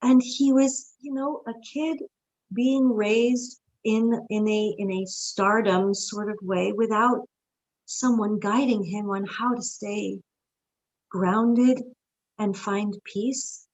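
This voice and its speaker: A middle-aged woman from New York, a stage actress talking as a guest in a casual interview, telling stories in a lively, expressive way and laughing often. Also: medium pitch, slow pace, rough recording.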